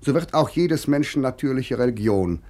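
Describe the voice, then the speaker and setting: An actor playing a sharp-witted young male writer and critic, reading rhetorically and emphatically in a German radio feature. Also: medium pitch, lively intonation, normal speed, lightly acted. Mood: earnest, emphatic.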